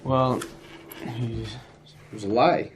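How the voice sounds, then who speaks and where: A teenage male student acting an aggrieved high schooler, talking in a halting, casual, slangy way. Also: fairly even intonation, natural voice. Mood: indignant, flat. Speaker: student actor in a peer mediation dramatization. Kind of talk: acted school role-play dialogue. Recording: noisy room ambience.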